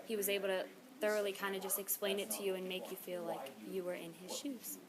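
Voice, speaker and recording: A teenage girl speaks earnestly in a classroom discussion, her pitch flat and even. Other students' chatter is clearly heard behind her. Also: fast, rough recording. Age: teens. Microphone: table recorder.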